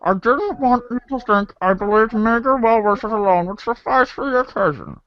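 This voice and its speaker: A man voicing a composed, wordy teenage girl as a masked supervillain in a deadpan comic storybook impression. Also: extremely high pitch, normal speed, dry recording, lo-fi sound. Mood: composed, formal. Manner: formal, verbose, deadpan.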